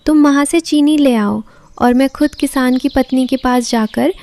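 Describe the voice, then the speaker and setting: A woman's voice: high voice, moderate pace, a little mellow. A woman voicing a kind mother bird who organises everyone in a cartoon story. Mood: encouraging.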